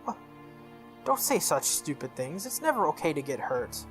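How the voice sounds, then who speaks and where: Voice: medium pitch, very animated, moderate pace, soft background music, close to an everyday voice. A game streamer voicing an earnest, protective, stubborn young man who scolds bluntly in a visual novel. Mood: exasperated.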